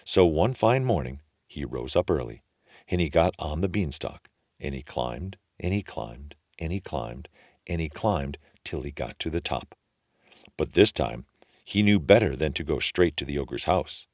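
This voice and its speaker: A man reading a children's story aloud as an expressive storyteller. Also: extremely low pitch, measured pace, no room sound, studio quality.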